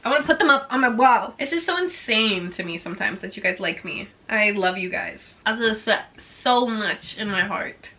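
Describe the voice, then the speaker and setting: Young woman, swedish first language, bubbly casual chat, bright crisp tone, lots of "like". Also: mid-range voice, expressive, normal speed, few pauses. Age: about 20. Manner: bubbly, chatty, heavy use of "like". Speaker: vlogger.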